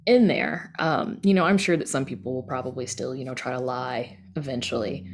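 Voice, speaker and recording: Woman, low pitch, candid, emphatic, informal podcast guest. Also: brisk, noisy background. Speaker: interview guest, podcast creator and editor. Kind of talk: casual interview answer.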